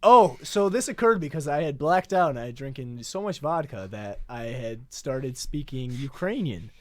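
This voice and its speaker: A man playing a boastful immigrant telling rambling drunken stories on a comedic college radio bit, halting with many "uh"s. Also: mid-range voice, very animated, no echo, natural voice. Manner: halting, rambling delivery full of "uh"s.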